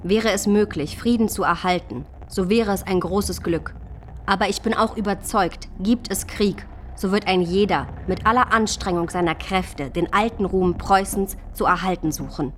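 A woman's voice: medium pitch, slight background noise, close to an everyday voice. A woman playing an affectionate, dutiful queen and mother, reading her letters in formal, old-fashioned phrasing in a radio drama.

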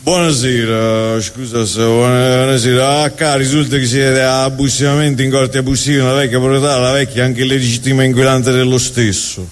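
A man's voice: mid-range voice, little pitch movement, moderate pace, few pauses, soft background music, pitched below the performer's own voice, natural voice. A man playing a bumbling, self-important policeman in a comic stage monologue, garbling officialese and repeating himself. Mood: muddled, officious. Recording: reverberant hall, live stage.

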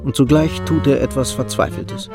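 An actor narrating a German radio play as a wry storyteller. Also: male voice, mid-range voice, animated, moderate pace, creaky, music in the background. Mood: tense, wry.

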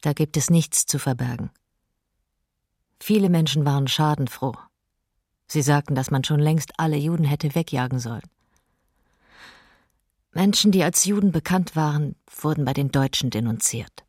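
A woman's voice: deep voice, normal speed, long pauses, a little mellow, no room sound, clean studio sound. An actress playing a frank, bitter woman witness giving testimony in a documentary radio drama.

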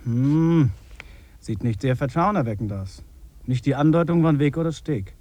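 An actor playing a rational, sceptical expedition member, logical and gently mocking, in a 1950s German radio drama. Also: male voice, mid-range voice, animated, moderate pace, lightly acted. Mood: dry, sceptical.

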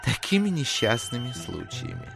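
Ingratiating gentleman, lively intonation. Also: mid-range voice, moderate pace, creaky, over music. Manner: polite, ingratiating, careful. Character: courteous, smooth-talking, calculating gentleman visitor. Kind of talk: theatrical audio drama acting.

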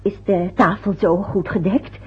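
A woman's voice: medium pitch, steady tone, a little slow, breathy edge. An actress playing a fussy, anxious older mother in a radio play, chattering and muttering to herself. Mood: fretful. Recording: dull lo-fi old radio recording.

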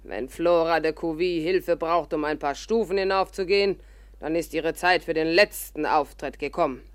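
Imperious old stage diva played by an actress. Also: female voice, sits low, normal speed, natural voice. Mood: haughty, commanding. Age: older. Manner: theatrical, declamatory, haughty. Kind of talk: 1950s German radio drama acting. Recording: 1950s radio play recording.

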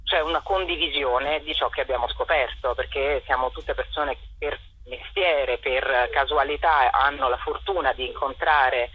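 A woman in a conversational radio interview, speaking as a guest in an expressive, reflective, self-correcting manner. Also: extremely low pitch, moderate pace, slightly rough, no echo. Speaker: theatre collective member, interview guest. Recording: dull telephone-like radio line.

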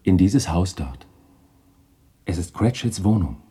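An actor playing a solemn, stern ghostly spirit in a radio play, speaking gravely and with command. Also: male voice, low voice, sing-song, unhurried, mellow, full character voice. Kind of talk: 1960s German radio play acting.

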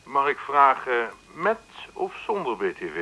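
A man's voice: mid-range voice, very animated, measured pace, bright, forceful tone, highs cut off, heavily acted. An actor playing a curt, sneering man who phones about a small ad in a radio play, blunt and dismissive. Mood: probing, then sneering. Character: curt, sneering male callers answering a small ad.

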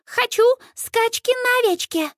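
A woman voicing a stubborn two-year-old girl in an audiobook, yelling her demands insistently. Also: very high voice, a little slow, no echo, clean studio sound, voice pushed up. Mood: stubborn, demanding.

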